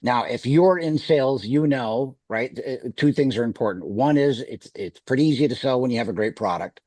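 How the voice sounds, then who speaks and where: A man's voice: medium pitch, normal speed, a little mellow, dry recording. A middle-aged man with a Wisconsin accent, talking on a video call to a sales team, rambling with many restarts. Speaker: sales executive.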